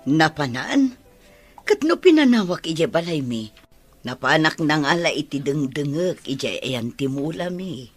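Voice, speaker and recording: Woman acting fretful mother, fairly low pitch, lively swings, northern Philippine accent, questioning, faint music. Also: measured pace, exaggerated voice. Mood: anxious. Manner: probing, fretful. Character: worried, questioning mother of a pregnant daughter. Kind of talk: audio drama acting.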